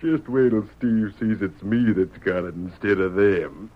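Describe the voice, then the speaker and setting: An actor playing a scheming, gloating Old West outlaw boss with a crafty, folksy American drawl in an old radio western. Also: male voice, lowish voice, unhurried, natural voice. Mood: smug, pressing. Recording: muffled, noisy old radio recording.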